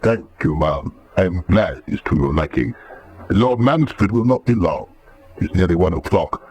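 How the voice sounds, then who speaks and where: An actor playing a polite, formal butler in a radio drama. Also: male voice, sits low, animated, normal speed, a little mellow, husky, exaggerated voice. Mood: deferential.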